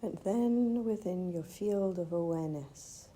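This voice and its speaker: Slow, mellow woman guiding meditation. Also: fairly low pitch. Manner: gentle, measured, with long pauses. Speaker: meditation teacher. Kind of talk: guided meditation. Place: retreat venue. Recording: faint background ambient noise.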